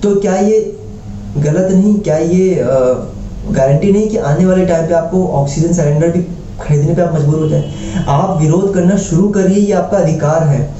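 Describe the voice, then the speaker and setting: A man's voice: a little high, moderate pace, warm tone. A man giving an earnest opinion monologue to viewers, exhorting them with rhetorical questions. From India. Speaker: social commentary vlogger. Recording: dull lo-fi, roomy echo, faint noise.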